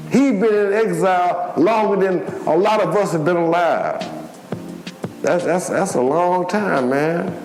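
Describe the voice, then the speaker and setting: Older activist man, echoey room, higher-pitched voice. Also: a little mellow. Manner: emphatic, colloquial, repeats phrases for emphasis. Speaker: political activist and former militant speaking. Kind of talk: impassioned political speech. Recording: echoey room with music behind.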